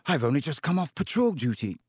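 A man's voice: on the high side, big pitch swings, brisk, a little mellow, breathy edge, no room sound, studio quality, pitched above the performer's own voice. A man doing a pompous, flustered teenage prefect. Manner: stammering, embarrassed.

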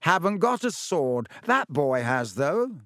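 A man's voice: a little high, big pitch swings, slow, a bit bright, dry recording, clean studio sound, voice pushed up, full character voice. A man voicing a vague, cheerful male wizard who has lost his memory, airy and bemused.

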